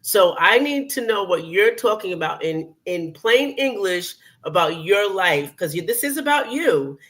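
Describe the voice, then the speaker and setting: A woman's voice: mid-range voice, lively intonation, unhurried, a bit bright. An older woman, hosting an interview show, who talks with enthusiasm, repeats herself and asks for plain explanations. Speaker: host. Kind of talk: casual interview.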